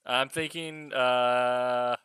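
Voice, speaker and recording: A man's voice: medium pitch, fairly even intonation, drawn-out words, a bit bright, clear, resonant tone, no echo. A man joking with friends on a video call, laughing often. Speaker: participant.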